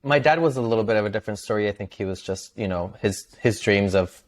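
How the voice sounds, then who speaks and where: A man whose first language is Spanish speaks in a fairly low, soft voice. He talks casually as a podcast guest, often slipping small laughs between phrases. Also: fast talker. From Venezuela. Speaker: guest, content creator. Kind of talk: casual interview conversation.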